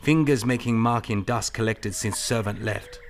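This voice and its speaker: A man's voice: fairly low pitch, normal speed, lightly acted. A man playing a shrewd, courteous Chinese detective in an audio mystery drama, speaking in clipped formal phrases with dropped articles. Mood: shrewd, observant. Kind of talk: audio drama re-creation of 1930s mystery film.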